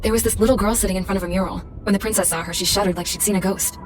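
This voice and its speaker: An actress playing a tough, street-smart military woman in a game, talking in a blunt, casual, no-nonsense way over loud music. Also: mid-range voice, fairly even intonation, rapid-fire, recorded from a distance, noisy background, lightly acted. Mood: wry, matter-of-fact. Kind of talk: scripted storytelling narration.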